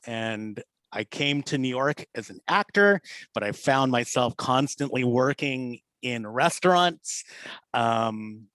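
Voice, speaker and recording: Relaxed man introducing himself, dry sound. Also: medium pitch, takes it slowly, no room sound, rough recording. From Philippines, raised in California. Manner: relaxed, rambling, many fillers. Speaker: senior sous chef. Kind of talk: casual self-introduction on a video call. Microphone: video call.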